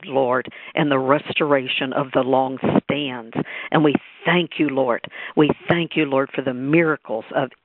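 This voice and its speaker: Gravelly man praying over a phone line. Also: medium pitch, dry recording. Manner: reverent, repetitive, quoting scripture. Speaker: prayer leader on a group call. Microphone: telephone on a conference call. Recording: narrow tinny phone line.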